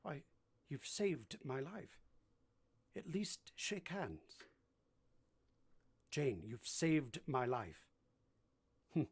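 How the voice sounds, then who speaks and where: A man acting a middle-aged gentleman master of the house in a vintage radio drama, intense, halting and commanding. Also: a little high, very animated, gravelly, husky, heavily acted. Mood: tender, reluctant.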